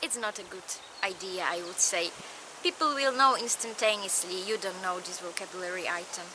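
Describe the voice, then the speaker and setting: A woman with a Polish accent, a native Polish speaker, giving a recorded lecture in slow, measured, short phrases. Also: on the low side, noisy background.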